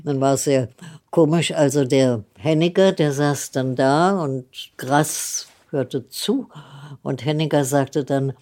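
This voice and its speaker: A hundred-year-old woman recalls the past in her own words. Her voice is low and dark, and she takes her time. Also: slow pace. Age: 100. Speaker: theatre publisher. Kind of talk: spoken recollection.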